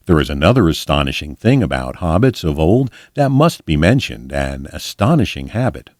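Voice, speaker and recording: A man reading a fantasy book aloud as a volunteer narrator, in a measured, expressive storytelling delivery. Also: low-pitched, dry recording, studio quality.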